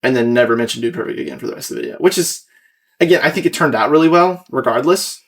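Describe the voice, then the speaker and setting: A young American man talks casually as a podcast host. His delivery rambles as he thinks aloud and picks at his own work, with a middle-of-the-road male pitch. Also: fast, gravelly. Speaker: podcast host and video creator.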